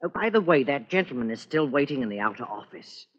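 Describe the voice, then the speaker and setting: An actor playing a polite, obsequious and slightly fussy law clerk in a vintage radio thriller. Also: male voice, medium pitch, lively intonation, fast, lo-fi sound, lightly acted. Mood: deferential. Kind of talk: 1940s radio thriller acting.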